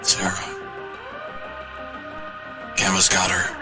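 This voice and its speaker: A man's voice: low voice, monotone, slow pace, sharp tone, faint music behind, full character voice. A man playing a brash, crude but loyal young vigilante in a video game, with a cocky, slangy way of talking. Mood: worried resolve. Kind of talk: cinematic video game dialogue.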